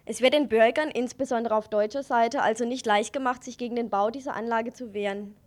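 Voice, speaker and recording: Woman, radio news reporter, scripted reading, formal and factual, slightly high voice. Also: fairly even intonation, brisk.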